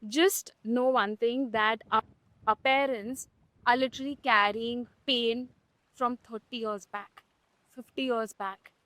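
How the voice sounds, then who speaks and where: High-voiced, blunt young woman host. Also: a bit bright, no echo, rough recording. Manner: emphatic and blunt, swearing for emphasis. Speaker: podcast host. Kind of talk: candid conversational podcast talk.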